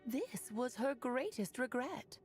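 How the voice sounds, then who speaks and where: A woman's voice: sits high, animated, slow pace, a little mellow. A woman voicing a playful, mischievous magical aunt in a video game, with a coy, theatrical delivery. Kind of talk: video game character acting. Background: music.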